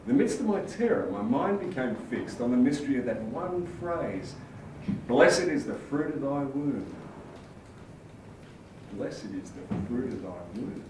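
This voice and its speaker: An actor playing a mad, haunted sailor in an intense, theatrical stage monologue, given to sudden outbursts. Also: male voice, mid-range voice, sing-song, moderate pace, natural voice. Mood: fervent praying. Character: mad, haunted sailor confessing a childhood near-drowning. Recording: echoey theatre, distant mic, faint noise.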